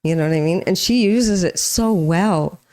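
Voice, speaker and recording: Chatty woman with a lowish voice. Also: moderate pace, warm tone. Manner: chatty, laughs often, upbeat sign-off. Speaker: co-host of a music reaction channel. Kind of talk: casual chat with a partner.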